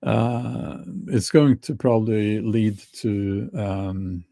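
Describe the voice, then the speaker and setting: A middle-aged man with a Norwegian accent talking casually to camera, slow and halting with many restarts. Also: lowish voice, gravelly, mellow, no room sound, studio quality. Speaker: YouTuber.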